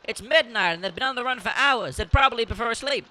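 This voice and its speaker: A man playing a stern, responsible farmhouse sibling with a very high, piercingly bright voice. The delivery is that of an audio drama, with faint noise behind it. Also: animated, fast, full character voice. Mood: hospitable, weary. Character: sharp, hard-working sibling in charge of farmhouse. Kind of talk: amateur audio drama acting.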